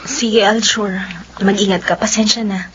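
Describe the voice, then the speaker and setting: An actress playing an earnest, stubborn young Filipino novice who pleads and protests in a radio drama. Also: female voice, mid-range voice, fairly even intonation, a little slow, dull audio, a little hiss or hum, natural voice. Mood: pleading. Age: young adult.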